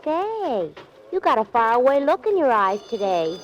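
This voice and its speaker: A woman's voice: on the high side, very animated, moderate pace. A woman in a 1940s radio drama playing a chirpy, naive young office girl with a chatty, cutesy manner. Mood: chirpy, teasing. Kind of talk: 1940s radio comedy acting. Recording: muffled vintage 1940s radio.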